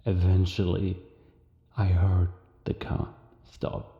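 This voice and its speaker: A sullen, embittered figure in a cinematic audio drama. His low, gravelly voice is weary and deliberate, wrapped in echoing reverb. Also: completely flat delivery, dull audio. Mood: grim, haunted. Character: sullen, brooding, embittered man.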